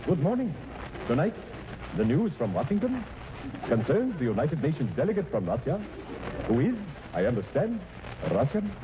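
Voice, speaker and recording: A man's voice: a little high, sing-song. Pompous, swooping 1940s radio newsman. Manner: pompous, theatrical, halting dramatic delivery. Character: pompous male radio news commentator, empty and overdramatic. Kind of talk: 1940s radio comedy acting. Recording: noisy, dull 1940s radio broadcast.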